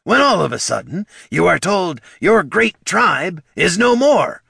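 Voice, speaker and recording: An eager, pompous orc presenter, portly and graying, pitching his idea with showy salesman flourishes, as a man puts him on. The voice is raised, loud and lively, with a gravelly rasp. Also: a little slow, dry recording, studio quality, exaggerated voice. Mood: dramatic.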